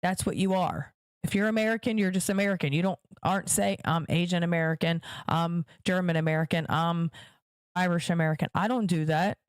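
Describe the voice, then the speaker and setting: A woman's voice: fairly low pitch, steady tone, fairly quick, clear, resonant tone, dry recording, clean studio sound. A woman hosting her own podcast, giving emphatic political commentary straight to her viewers and repeating points for stress. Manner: direct address to viewers. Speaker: podcast host.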